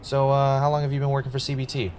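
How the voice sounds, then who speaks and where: A man acting as a wry, uneasy podcast host in an audio drama, with a casual, halting, conversational delivery. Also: medium pitch, monotone, a little mellow, slight background noise, natural voice. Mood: awkward. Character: Ray, wry, uneasy male podcaster investigating his own death.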